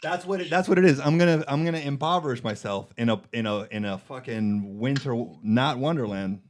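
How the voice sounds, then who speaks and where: A man's voice: mid-range voice, a little fast. A man hosting a podcast in casual comedic chat, profane, joking and self-deprecating. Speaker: podcast host getting a reading.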